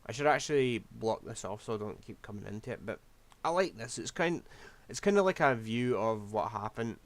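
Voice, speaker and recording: A man's voice: lowish voice, expressive, brisk. A man, rambling through casual gameplay commentary while losing his voice. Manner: rambling, scatterbrained, self-deprecating. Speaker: game streamer.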